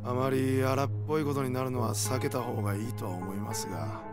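Male actor as street-wise gangster, mid-pitched, matter-of-fact, wary, game cutscene, clear background music. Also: steady tone, brisk, natural voice. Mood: deferential, concerned. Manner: blunt, explanatory, rough casual speech. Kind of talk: Japanese video game voice acting.

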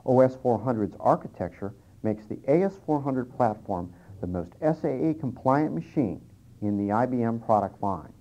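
A man's voice: mid-range voice, lively intonation, moderate pace, dark tone. A man presenting scripted instructional material on an old cassette tape. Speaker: presenter and interviewer. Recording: dull early-1990s cassette tape transfer.

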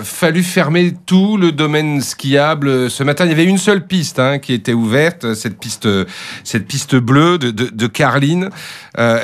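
A middle-aged man hosting a live news interview, putting questions into a studio microphone. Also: mid-range voice. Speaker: radio news host. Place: small radio studio. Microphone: studio microphone on stand, headset monitoring.

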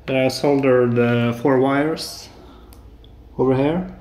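Slow, halting Norwegian-accented man. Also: mid-range voice, fairly even intonation. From Norway. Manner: hesitant, many filler sounds, soft-spoken. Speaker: hobbyist maker. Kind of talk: casual tech demo. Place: apartment living room and kitchen, indoors. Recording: echoey room.

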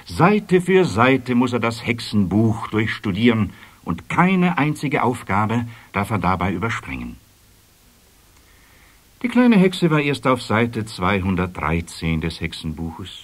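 A man narrating a children's fairy tale in a measured, expressive storytelling manner, with deliberate emphasis. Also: mid-range voice.

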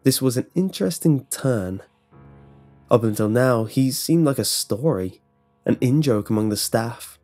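Mellow-voiced man narrating horror. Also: mid-range voice, animated, a little slow, soft background music. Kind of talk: scripted first-person horror narration.

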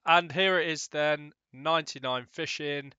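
Young male gamer, stuffed-up nasal voice from a cold, smooth tone, dry and slightly dull recording, casual rambling voice-over. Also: a little high, steady tone, unhurried, pushed and bright, no echo. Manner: rambling, many ums and restarts, swears casually. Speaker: gaming YouTuber. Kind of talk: casual gameplay commentary.

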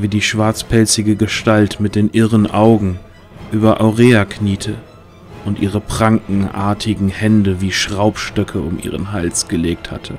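A man's voice: lowish voice, darkish tone. A man narrating a fantasy audio drama in a dramatic, scripted storytelling style. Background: music.

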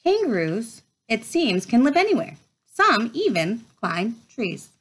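A woman in her thirties reading a children's nature book aloud in an expressive storytime manner, recorded at home. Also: fairly high pitch, measured pace, slightly rough. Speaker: read-aloud host. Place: small room at home, indoors.

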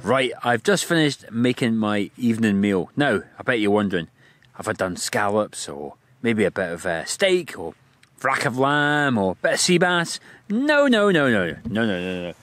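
A man's voice: on the low side, very animated, normal speed, fairly bright tone, no room sound, soft background music. A man in his forties hosting an outdoor vlog on a hilltop, talking to a camera held at arm's length in a chatty, upbeat, self-mocking way. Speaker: vlog host, landscape photographer. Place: open windy hilltop outdoors.

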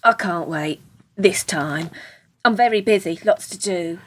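A woman's voice: on the low side, unhurried. An actress in a radio play playing an earnest, forthright, lovelorn woman who will not give up. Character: earnest, lovelorn, persistent woman pursuing a man.

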